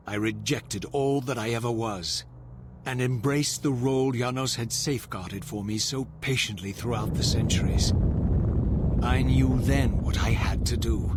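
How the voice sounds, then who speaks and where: An actor playing a vengeful undead wraith, speaking in formal, grandiose, theatrical language in a dramatic video game. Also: male voice, mid-range voice, heavy background noise, lightly acted. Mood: self-loathing. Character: Raziel, brooding vengeful undead male wraith, once vampire. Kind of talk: dramatic scripted game narration and dialogue.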